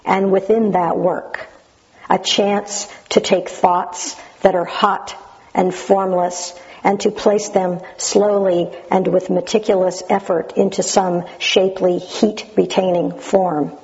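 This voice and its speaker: A woman with a naturally loud voice reading a passage dramatically with up-and-down intonation, then sharing her memories in a reflective way. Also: medium pitch, flat delivery, takes it slowly, muffled recording. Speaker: Zen practitioner sharing memories of a teacher.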